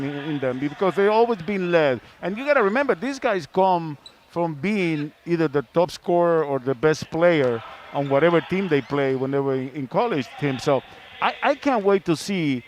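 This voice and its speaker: Guy with a highish voice talking sports, repeats himself, bit of arena noise. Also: expressive, normal speed. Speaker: sports broadcaster and panelist. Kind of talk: pre-game sports panel discussion. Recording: faint venue noise.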